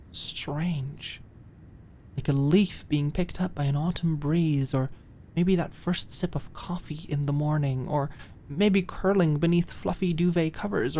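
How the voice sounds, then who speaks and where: A woman's voice: deep voice, dark tone, slight background noise. A woman reading dramatic scripted narration as an audiobook narrator.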